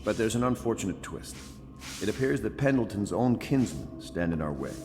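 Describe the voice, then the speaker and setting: An actor playing a dignified conspiracy leader in a video game, courteous and formal, with measured authority. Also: male voice, lowish voice, lively intonation, music in the background, natural voice. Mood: grave, pleased.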